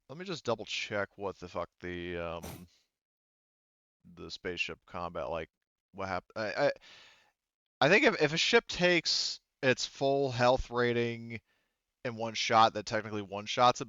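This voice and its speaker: Deep, smooth-voiced man running a tabletop game. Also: pushed and bright, no room sound, dull audio. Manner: informal, profane, thinking aloud. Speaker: game master. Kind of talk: casual tabletop game narration and rules talk.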